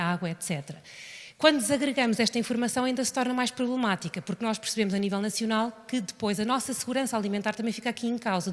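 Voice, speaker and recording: A woman with a European Portuguese accent giving an earnest, explanatory public talk as a researcher on a panel. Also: mid-range voice. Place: large indoor hall, public event. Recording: echoey hall with long reverb.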